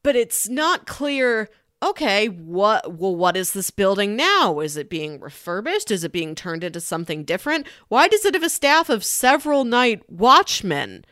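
A woman's voice: medium pitch, big pitch swings, normal speed, few pauses, a bit bright, no room sound, clean studio sound. A woman co-hosting a casual film discussion podcast, analytical and emphatic as she makes her points. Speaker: podcast co-host reviewing a film.

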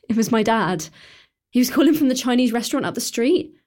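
A woman's voice: fairly high pitch, animated, normal speed, a little mellow, lightly acted. An actress playing Emma, a caring, teasing teenage schoolgirl in a radio drama, speaking in an earnest, coaxing way. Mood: warm.

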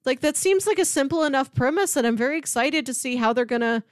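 High-voiced, lively woman chatting enthusiastically. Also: fast talker, no room sound, clean studio sound. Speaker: podcast co-host. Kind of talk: casual chat.

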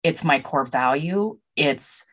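Woman with an extremely deep voice. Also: slow, a bit bright. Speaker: interview guest. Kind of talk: personal testimony.

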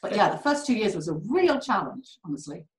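A British woman telling candid stories in an interview, animated and quick to laugh. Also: medium pitch, roomy sound. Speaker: core facility director. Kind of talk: candid conversational storytelling.

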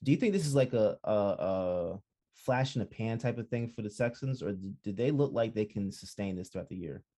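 A man co-hosting a sports podcast, talking in halting bursts with many restarts, easily excited. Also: lowish voice. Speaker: podcast co-host. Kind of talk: casual sports chat.

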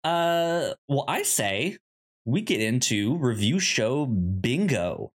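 A podcast co-host in casual chat, running the segments in a playful, halting way and thinking aloud along the way. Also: mid-range voice, very animated.

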